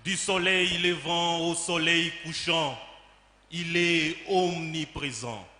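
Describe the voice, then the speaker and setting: Male spoken word poet, sharp bright voice, slow solemn declamation of religious verse, reverberant hall. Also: a little high, steady tone. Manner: solemn, declamatory, deliberate with dramatic pauses. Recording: echoey reverberant hall, faint noise.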